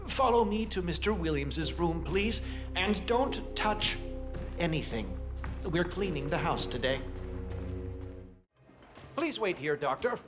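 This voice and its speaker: A man's voice: sits high, animated, slow, pauses often, over music. A man playing a prim, politely bossy household servant in a video game, formal and fussy. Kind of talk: video game cutscene acting.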